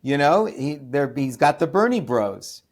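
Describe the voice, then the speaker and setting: An American man, a podcast guest and author, giving opinionated interview answers with many restarts and fillers, then bursting into loud, scornful emphasis. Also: on the high side, very animated, measured pace. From United States.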